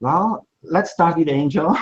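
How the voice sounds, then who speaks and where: Male guest, 40s, halting English, dull lo-fi line. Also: a little high, husky. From Slovakia. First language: Hungarian. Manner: halting, plain English with small grammar slips. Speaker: guest, sourcing expert.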